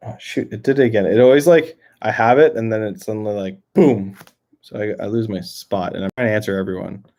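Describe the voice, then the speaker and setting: A young man with a Canadian accent talks to viewers on a live stream, reading their comments aloud and answering them one by one. His voice sits on the low side, and he rambles a little, with lots of "um"s and restarts. He is outdoors near a lake. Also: a little fast, a little mellow. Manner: rambling, filler-heavy, many restarts. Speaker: livestream host. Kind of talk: casual livestream chat reading comments.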